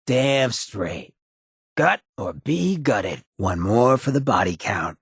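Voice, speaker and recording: An actor voicing a cocky, roguish, streetwise ghoul companion in a video game, with a very raspy, gravelly voice and a sly, laid-back swagger. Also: male voice, mid-range voice, very animated, slow, no room sound, studio quality, exaggerated voice. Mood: cocky, coaxing, then gleeful swagger.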